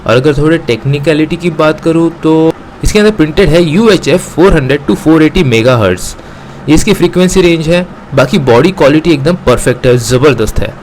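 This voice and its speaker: An Indian man with a slightly high-pitched voice hosts a product review. He explains each item to his viewers and switches into English phrases now and then. There is some light ambient noise behind him. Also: poor audio. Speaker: host of a gadget review channel. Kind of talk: unboxing and product review narration, addressing viewers.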